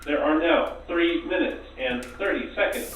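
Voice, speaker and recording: A man's voice: extremely high pitch, huge pitch swings, measured pace, heavily acted. Man acting an echoey, far-off station alarm announcer. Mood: formal countdown. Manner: formal, repetitive alert announcing. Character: emergency announcement voice of a lab, urgent countdown. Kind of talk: radio play acting. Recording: echoey, distant, with crowd noise behind.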